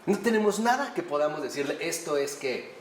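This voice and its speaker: Animated man lecturing in an echoey room. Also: fairly high pitch, fairly quick, slight background noise. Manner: animated, rhetorical questions to the audience. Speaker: priest teaching a class. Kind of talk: conversational lecture on faith. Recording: echoey room with faint ambience.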